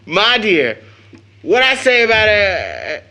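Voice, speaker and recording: A spirited American teenage son in a period radio play. His voice is fairly high and swoops widely, with drawn-out, theatrical phrasing. He sounds proud and teasing, a schoolboy fond of mock-grand speech. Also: wildly swooping pitch, pushed and bright, exaggerated voice. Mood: teasing, playful. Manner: theatrical, jokingly pompous with slangy boyish talk. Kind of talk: audio drama acting of an early 1900s stage play.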